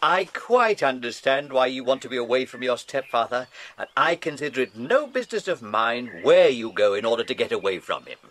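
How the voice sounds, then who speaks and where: An actor with an English accent playing a genial, absent-minded naturalist, polite and formal, in a children's audio drama. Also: male voice, mid-range voice, very animated, fairly quick, hardly any pauses, fairly bright tone. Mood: dry, polite. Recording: hissy 1990s cassette tape.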